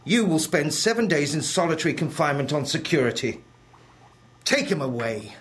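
A man's voice: on the high side, sing-song, normal speed. A stern housemaster in a radio drama. He gives orders in clipped, threatening phrases and rules the boys with cold authority. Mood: furious. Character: stern, menacing housemaster of a boys' institution.

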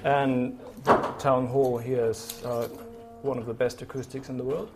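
A man, a sound engineer, explains his work informally and haltingly, thinking aloud as he goes. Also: mid-range voice, level tone, music in the background. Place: large town hall concert venue. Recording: loud orchestra practice behind the voice. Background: orchestra musicians practising.

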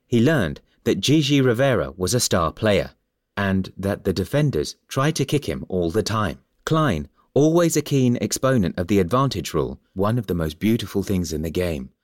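A man reading a scripted story as narrator, in a measured storytelling manner. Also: on the low side, dry recording, clean studio sound.

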